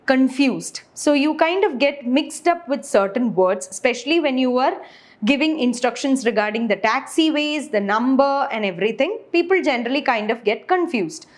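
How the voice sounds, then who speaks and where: A woman in her twenties with an Indian accent giving an instructional lecture, explaining step by step as a teacher. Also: high-pitched. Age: 20s. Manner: explanatory, rhetorical questions to structure points. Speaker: aviation lecturer.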